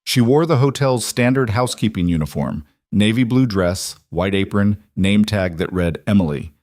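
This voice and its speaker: A man narrating a dramatic story in a steady, scripted storytelling manner. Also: lowish voice, moderate pace, dry recording, clean studio sound.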